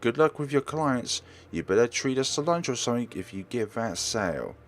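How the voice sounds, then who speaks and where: A man's voice: medium pitch, animated, moderate pace, a little hiss or hum, pitched above the performer's own voice, lightly acted. Man reading as a fussing, motherly young woman, lilting. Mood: brisk, fond. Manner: scolding affectionately. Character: motherly, fussing young woman teacher, kind but nagging. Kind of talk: visual novel dialogue read aloud.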